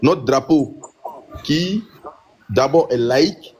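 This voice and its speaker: A man with an Ivorian accent speaks at a middle pitch in an unscripted debate. He is insistent and hammers his points by repeating them, with background noise and clicks on the recording. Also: expressive, slow, a little mellow. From Ivory Coast. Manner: insistent, repeats phrases for emphasis. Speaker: panel debater in a live online discussion. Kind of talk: impromptu debate.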